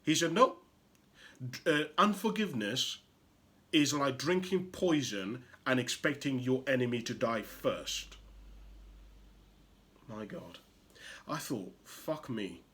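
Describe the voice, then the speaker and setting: Man from Uganda, motivational host, emphatic, rhetorical questions, lively swooping pitch, mid-range voice. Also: slow, pauses often. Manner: emphatic, rhetorical questions, swings from loud to hushed. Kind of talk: impassioned motivational monologue.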